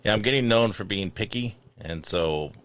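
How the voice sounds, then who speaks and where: A man's voice: on the low side, normal speed, fairly bright tone. A man hosting a casual podcast chat, teasing and picky about music. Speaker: podcast host.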